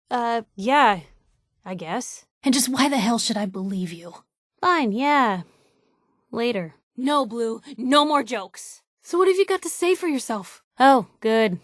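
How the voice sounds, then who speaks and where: Woman playing a high-voiced, fiery newshound. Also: no echo, clean studio sound, close to an everyday voice. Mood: sarcastic, miffed, then angry, disappointed. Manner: conversational, wry, outspoken. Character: fiery, sardonic young woman newspaper reporter companion. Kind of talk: video game companion voice lines.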